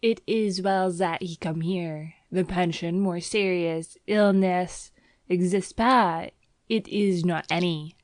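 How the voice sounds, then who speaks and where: A woman's voice: on the low side, steady tone, slow, a little mellow, no echo, studio quality. A woman voicing a stout, effusive French landlady who talks in broken English with French words mixed in. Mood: emphatic.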